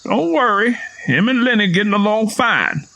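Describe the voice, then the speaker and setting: Man acting a high, swooping Southern country father. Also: animated, moderate pace, vocal fry, bright, forceful tone, exaggerated voice. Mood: defensive. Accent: American South. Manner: folksy, evasive, defensive. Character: wary rural Southern father, defensive but folksy.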